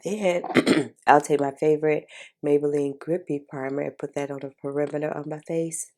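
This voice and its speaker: A congested woman talks viewers through her makeup in a casual, chatty way. Her voice sits low and carries a gravelly fry, with a dark, mellow tone. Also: steady tone, moderate pace. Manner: chatty, informal, addresses viewers as y'all. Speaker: beauty vlogger. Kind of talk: casual makeup tutorial chat.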